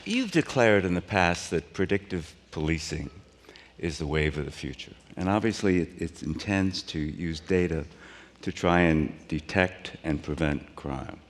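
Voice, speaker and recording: A man's voice: deep voice, expressive, unhurried, long reverb tail. A man interviewing a guest, asking questions with hesitant restarts.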